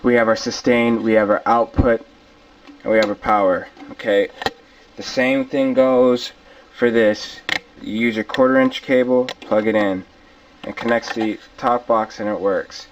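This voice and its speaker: A man's voice: on the low side, fairly even intonation, measured pace. Man in a tutorial, distant dull lo-fi recording. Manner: colloquial, explains step by step, repeats himself. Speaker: tutorial host. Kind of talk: informal hands-on gear tutorial. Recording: distant mic, dull lo-fi camcorder sound.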